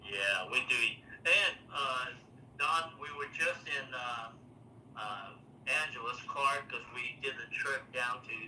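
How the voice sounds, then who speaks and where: A man's voice: mid-range voice, bright, forceful tone. An older man born in Taiwan hosting a casual live chat, rambling with lots of fillers and restarts. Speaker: livestream host. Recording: roomy, distant mic, faint noise.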